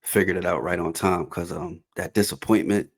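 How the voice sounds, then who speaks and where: A man in his thirties hosting a podcast, asking questions in a relaxed, informal way. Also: deep voice, moderate pace. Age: 30s. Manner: relaxed, informal speech, some "um"s. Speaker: podcast host. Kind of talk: casual interview chat.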